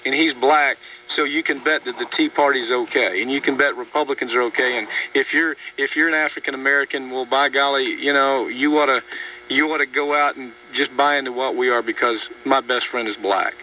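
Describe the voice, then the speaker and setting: A man's voice: medium pitch, steady tone, brisk, sharp tone. A man hosting a political radio talk show, speaking emphatically and sardonically, often repeating words for stress. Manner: mocking, sardonic. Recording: dull, distant radio audio with faint hum.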